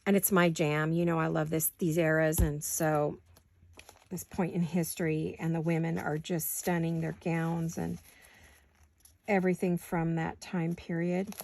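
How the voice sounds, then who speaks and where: A woman with a sore throat chatting casually to viewers while she crafts at home, thinking out loud as she works. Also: sits low, flat delivery, unhurried. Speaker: craft vlogger. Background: scissors and crafting clicks.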